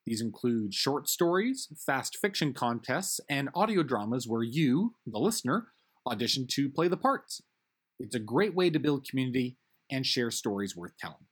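Male producer, scripted outro and credits; upbeat, conversational, husky. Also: medium pitch, big pitch swings, moderate pace. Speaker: producer reading the closing credits.

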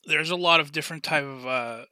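A man in a casual podcast discussion, talking haltingly and hedging as he goes. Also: on the high side, bright, forceful tone, no echo.

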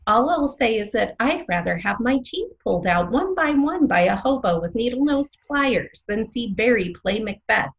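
Excitable small-town radio woman, opinionated and theatrical, big swings in pitch, slightly muffled sound. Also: moderate pace, few pauses. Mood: scornful. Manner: theatrical, playfully dramatic. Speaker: radio co-host. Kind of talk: scripted comic radio banter.

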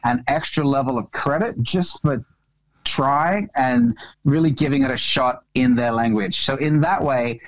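A man's voice: mid-range voice, a little slow, no room sound. An Australian man with a mid-range voice talks in a laid-back, profane way, dropping "bro" and "man" as he goes. He comes through a remote call on his phone, with muffled, lo-fi sound that is dry and close. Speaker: podcast guest. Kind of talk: casual conversational interview.

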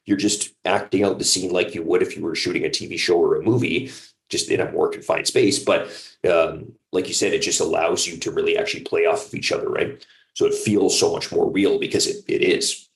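A man with a very low voice chats as an interview guest. He is a voice actor who explains his work conversationally, often tagging thoughts with a questioning check for agreement. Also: fairly even intonation, fairly quick, vocal fry. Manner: conversational, seeks agreement with "right?". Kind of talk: casual interview chat.

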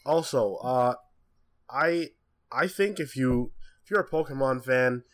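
A man's voice: medium pitch, a little slow. A man co-hosting a podcast, chatting casually and a little hesitantly. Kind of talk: casual chat.

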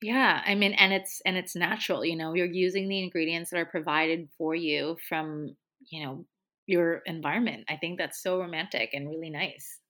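A woman from Hong Kong talks shop about drinks in a relaxed, chatty interview. Her voice sits a bit low and has a bright, forward edge, and she keeps things loose with plenty of fillers. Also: normal speed. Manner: easygoing, chatty, many fillers. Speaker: bartender and drinks educator. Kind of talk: casual interview chat.

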